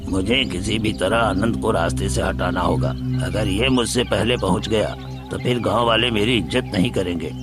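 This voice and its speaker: A man's voice: on the low side, normal speed, loud music behind the voice, close to an everyday voice. A man playing a greedy, scheming village uncle in a Hindi moral story, with a calculating, self-serving manner.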